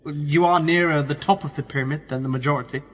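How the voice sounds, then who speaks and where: Actor as a higher-voiced, cautious journalist. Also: male voice, steady tone, close to an everyday voice. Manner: reserved, tactful, brief measured replies. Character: polite, cautious, probing male magazine journalist. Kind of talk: radio play dialogue. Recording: reverberant room, faint background noise.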